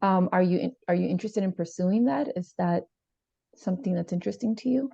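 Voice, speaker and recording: A woman playing a calm, supportive therapist in an improvised session over a video call, speaking gently and a little hesitantly. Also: on the low side, fairly quick, vocal fry. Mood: encouraging. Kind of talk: improvised role-play dialogue. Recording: slightly dull, roomy video-call audio.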